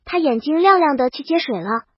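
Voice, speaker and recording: A woman's voice: sits high, expressive, a little fast, no room sound, muffled recording. A woman reading scripted first-person audiobook narration as the storyteller.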